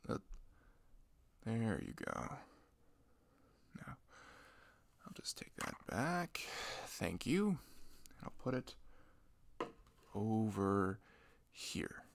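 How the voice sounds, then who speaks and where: A man playing a shy, sweet, flustered best friend in an intimate ASMR roleplay, hesitant, stammering and soft-spoken. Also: mid-range voice, creaky, exaggerated voice. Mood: caring. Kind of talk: ASMR roleplay, intimate one-sided dialogue.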